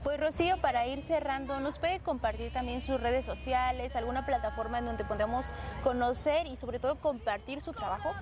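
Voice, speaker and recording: Woman host, kinda high voice, polite formal questions with lots of ums, music going underneath. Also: normal speed. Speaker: interviewer and host. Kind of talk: interview questions.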